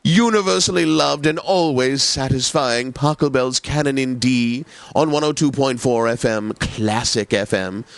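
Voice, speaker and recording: An actor playing a pompous, effete classical radio DJ in a video game, announcing in a theatrical, unctuous, precisely enunciated manner. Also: male voice, mid-range voice, measured pace, gravelly, hardly any pauses, no room sound. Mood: smooth, pompous.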